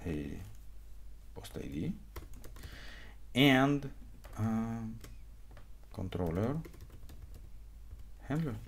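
A man, an English speaker explaining code informally and haltingly as an instructor in a live tutorial. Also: fairly low pitch, sing-song, a little hiss or hum. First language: not English; also speaks Hebrew, Russian and Ukrainian. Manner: halting, frequent okay check-ins, non-native phrasing. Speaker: programming instructor. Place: small indoor room, webcam screen recording.

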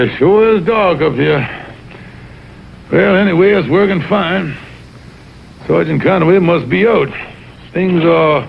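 High, blustering Southern cartoon con artist. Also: male voice, gravelly, noisy background. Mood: uneasy. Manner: blustering, wheedling. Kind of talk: 1960s TV cartoon voice acting. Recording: dull vintage TV audio with music.

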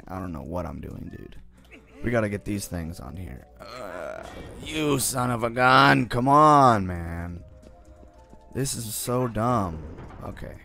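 Raspy-voiced young man playing a game. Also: on the low side, a bit bright. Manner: easily frustrated, groaning and complaining at the game. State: raspy early-morning voice. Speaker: gaming channel host. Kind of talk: casual let's-play commentary.

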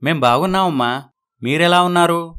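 A man's voice: a little high, normal speed, pushed and bright, clean tone. A man playing a dutiful adult son in a moral story, apologetic and placating in manner.

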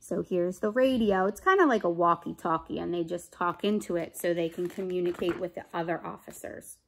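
A woman in her thirties with an American accent reads a children's book aloud expressively, pausing to explain things simply. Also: lowish voice, gravelly, slight background noise, rough recording. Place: small room at home.

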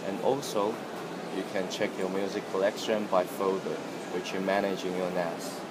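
Man, lower voice, product demo narration, presenter, loud background noise, indoor room hum. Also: little pitch movement, moderate pace. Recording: noisy room, air-conditioning hum.

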